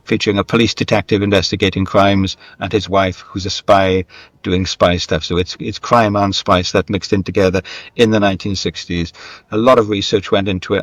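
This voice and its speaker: Low-voiced man, candid and reflective. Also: dry recording. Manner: candid, self-deprecating, wandering anecdotal talk. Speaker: guest, screenwriter and novelist. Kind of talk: reflective conversational interview.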